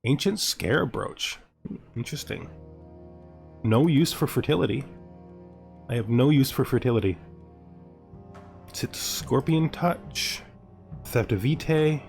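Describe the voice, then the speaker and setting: A man's voice: mid-range voice, lively intonation, measured pace, vocal fry, long pauses, darkish tone, soft background music. A man giving casual gaming commentary, thinking aloud and asking himself questions as he weighs his choices. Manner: asks himself questions, muses over choices. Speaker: gameplay host.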